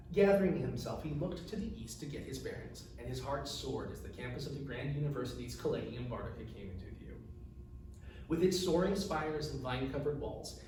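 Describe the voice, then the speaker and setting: A man reading his fantasy novel aloud as its author, in a measured, formal storytelling delivery. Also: a little high. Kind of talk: narrated fantasy fiction reading. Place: echoey room, recorded from a distance. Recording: distant mic, very echoey room, faint noise.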